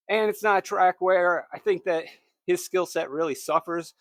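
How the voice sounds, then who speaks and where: A man's voice: fairly high pitch, fairly bright tone, no room sound. A man hosting a solo fantasy racing picks show, talking in a conversational, emphatic way that corrects itself, his throat a little scratchy.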